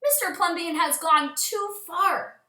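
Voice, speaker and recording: A woman's voice: very high voice, slow pace, bright, forceful tone, pitched above the performer's own voice, exaggerated voice. A woman voicing fussy, gossipy, scandalized neighbors in a children's storybook, exclaiming with indignation. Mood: indignant. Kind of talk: children's storybook read-aloud. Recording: echoey room.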